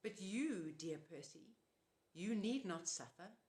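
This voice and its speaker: A woman's voice: on the high side, very animated, normal speed, pitched above the performer's own voice. A woman voicing a monstrous old woman disguised as a kindly auntie, speaking in a coaxing, sugary purr. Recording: roomy echo.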